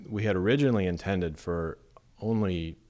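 A middle-aged man from Las Vegas, an architect, talking in a measured, thoughtful way with many fillers in an interview. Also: sits low, darkish tone. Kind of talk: relaxed conversational interview.

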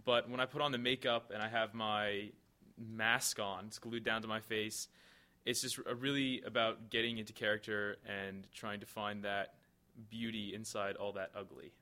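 A man's voice: on the low side, little pitch movement, normal speed, bright, forceful tone. A teenage boy earnestly answering interview questions about his stage role. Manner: earnest, with fillers. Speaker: student actor.

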